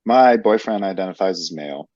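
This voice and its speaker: A man's voice: low voice, expressive, brisk, darkish tone, no room sound, highs cut off. An actor playing a hesitant man who answers personal questions from a health interviewer with reluctance. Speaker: interviewee in a training role-play.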